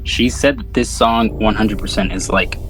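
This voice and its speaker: A man's voice: lowish voice, steady tone, moderate pace, over music, poor audio. A man hosting a podcast in a casual, slangy film discussion, swearing freely. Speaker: podcast host.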